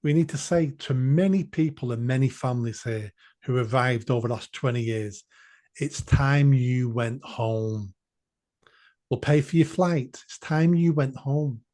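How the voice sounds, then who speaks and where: A man's voice: mid-range voice, measured pace, dark tone. A man, a podcast guest speaking bluntly and emphatically, repeating phrases for force. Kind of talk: political podcast discussion.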